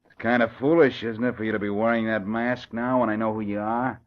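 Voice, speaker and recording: A smug nightclub gangster played by a man from a 1940s radio crime show. His voice is low and brusque, full of bullying swagger, on a dull old recording. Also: fairly even intonation, fairly quick, lightly acted. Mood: smug, gloating. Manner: brusque tough-guy slang, gloating.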